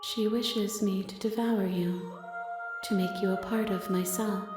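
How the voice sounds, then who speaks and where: A woman voicing a calm, ominous, otherworldly princess being who speaks in a hushed, prophetic way. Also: medium pitch, a little slow, over music, natural voice. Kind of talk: scripted game voice acting. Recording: heavy reverb game voice.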